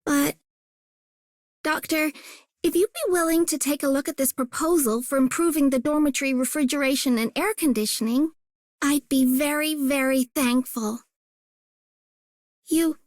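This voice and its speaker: High earnest young game girl, done by a voice actress, eager, dry close studio sound. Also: normal speed, dry recording, lightly acted. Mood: shy, earnest. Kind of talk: scripted game character voice lines.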